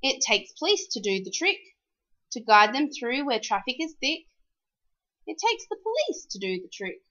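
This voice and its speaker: A woman reading a rhyming children's storybook aloud in an expressive, animated, sing-song way. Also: sits high, normal speed, dull audio.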